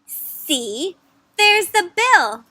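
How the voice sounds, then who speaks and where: Woman acting insistent storybook character, very high, bright, emphatic, exclaiming, animated for kids. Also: slow pace, dry recording, heavily acted. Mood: excited, insistent. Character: excitable, insistent person sure they see a duck. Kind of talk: picture-book read-aloud for young children.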